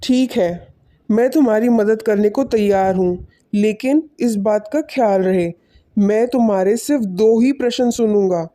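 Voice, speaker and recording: A woman voicing a stern, wise male forest hermit in an animated moral story, speaking with curt authority. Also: mid-range voice, a little slow, lightly acted.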